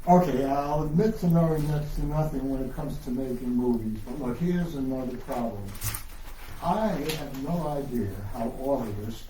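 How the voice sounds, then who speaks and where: A man's voice: mid-range voice, normal speed, warm tone. A man giving a live dramatic reading of a novel in a bookshop, moving between narration and dialogue. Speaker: author reading from own novel. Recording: echoey distant room recording with noise.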